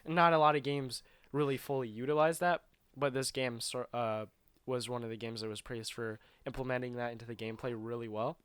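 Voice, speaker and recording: A man's voice: medium pitch, fairly even intonation, brisk, a bit bright, smooth, dry recording. A man co-hosting a show, chatting casually about video games in a rambling, loosely explained way. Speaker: video game show co-host.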